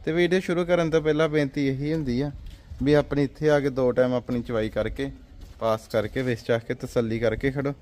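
A man's voice: mid-range voice, fairly even intonation, a little fast, darkish tone. A man hosting a livestock sale video, with a friendly, promotional manner, talking outdoors in a farmyard. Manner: friendly, brief acknowledgments, promotional. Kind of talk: casual interview.

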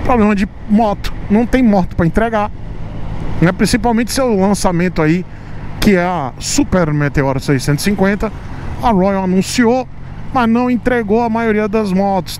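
A Brazilian man with a fairly high voice rides through city traffic and chats into his helmet mic. He talks in a teasing, chatty way, drawing words out for emphasis, while traffic and engine noise run clearly under him. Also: darkish tone. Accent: Brazilian Portuguese. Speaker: motovlogger. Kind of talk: riding commentary vlog. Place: outdoors on a motorcycle in city traffic.

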